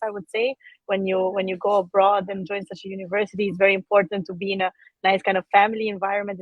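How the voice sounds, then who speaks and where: A woman's voice: medium pitch, no echo, highs cut off. Woman, dry close sound. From Albania. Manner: measured, with frequent filler sounds and restarts. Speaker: guest speaker. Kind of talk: career story in a conversational interview.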